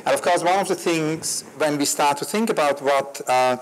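A man curator speaking on a panel, quick and associative with fillers, on a handheld mic at an outdoor garden stage. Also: on the high side, rough recording. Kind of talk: panel discussion.

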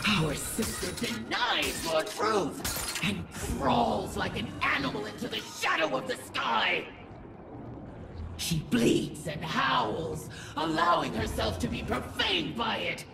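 A menacing alien goddess of war for a video game, grieving and vengeful. The delivery is theatrical and rasping, with pitch that ranges widely. The voice sounds distant and echoey, with music clearly underneath. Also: female voice, heavily acted. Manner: grandiose, slow, theatrical, swinging from whispers to shouts. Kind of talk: dramatic game audio-log monologue.

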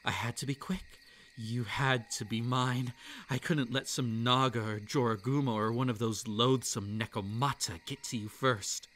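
A man voicing a possessive, gloating yandere kitsune monster boy in an intimate, hushed, menacing ASMR roleplay monologue. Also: mid-range voice, fairly even intonation, normal speed, a little hiss or hum, close to an everyday voice. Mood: hungry, possessive. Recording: night insect ambience behind the voice. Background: crickets and night insects.

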